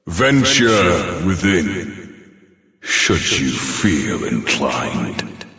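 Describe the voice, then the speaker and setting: Game casting: grand, condescending ancient deity, very slow and swooping, drenched in reverb. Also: male voice, rumbling low, lively intonation, sharp tone, faint music behind, exaggerated voice. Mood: grand, bemused. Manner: slow, grand, theatrical delivery. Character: ancient godlike male being, grandly condescending, granting wishes to mortals. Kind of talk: scripted video game voice acting. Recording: cavernous echo with long reverb.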